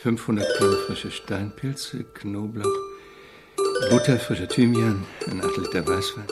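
An actor playing a weary, courteous and evasive famous composer in a radio play, with a deadpan delivery. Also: male voice, lowish voice, measured pace, over loud music, natural voice. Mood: absorbed.

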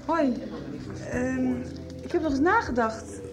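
An actress playing an insecure, jealous teenage girl, halting and pleading, in a Dutch radio soap. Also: high voice, expressive, over music. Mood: anxious, pleading.

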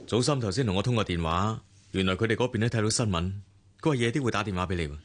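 Deep, fast-talking actor's considerate gentleman. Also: slight background noise, close to an everyday voice. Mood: considerate, protective. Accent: Hong Kong Cantonese. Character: considerate, protective gentleman, quietly devoted. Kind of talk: radio drama acting.

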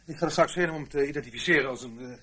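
An actor in a radio play playing an eager subordinate secret agent who talks in a hurried, defensive way. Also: male voice, fairly high pitch, fairly bright tone, husky, low-quality recording. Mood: brisk reporting. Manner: hurried, defensive, stammering under pressure.

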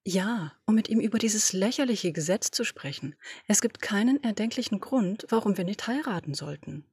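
Husky bossy lady boss giving orders, cold and clipped, dry close mic, a woman reading her. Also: mid-range voice, animated, a little mellow, no echo. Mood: cool, commanding. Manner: commanding, brisk, dismissive. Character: imperious, domineering woman boss. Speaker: podfic reader. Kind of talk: German audio drama reading.

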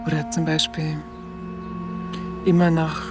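An actress playing a candid Munich woman recalling her memories in a radio play, halting and musing. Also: low voice, flat delivery. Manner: halting, musing, breathy phrase endings. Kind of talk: radio play narration as spoken recollection. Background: music.

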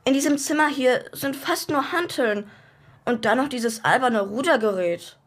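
A woman's voice: sits high, animated, moderate pace. A woman playing a precocious, blunt young boy in a children's radio play, with a matter-of-fact know-it-all manner. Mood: grumpy.

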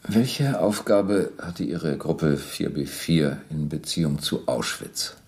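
A police interrogator in a radio play, very low and creaky, with lively intonation. He is formal, methodical and insistent as he puts questions and evidence to the accused. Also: slow pace, exaggerated voice. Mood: stern, insistent. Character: stern, methodical police captain interrogating a war criminal. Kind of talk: German radio docudrama acting, reading documents aloud.

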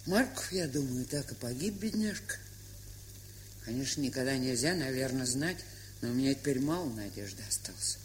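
An actress playing a gruff, stubborn but kind-hearted old aunt in a radio play, with an aged, husky, folksy, grumbling way of talking. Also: female voice, rumbling low, lively intonation, normal speed, darkish tone, slight background noise. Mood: wistful. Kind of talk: Soviet radio play acting.

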